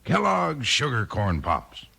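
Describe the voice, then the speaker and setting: A man giving a folksy 1950s radio advertising pitch aimed at children. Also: huge pitch swings, takes it slowly, gravelly, bright, forceful tone, husky. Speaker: commercial pitchman. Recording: old radio recording.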